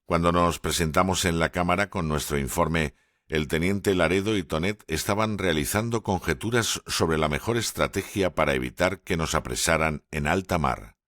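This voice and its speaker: A male audiobook narrator with a very deep, low voice reads in a measured, steady way. The recording is dry and close, with no room sound. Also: dry recording. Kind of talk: scripted first-person narration.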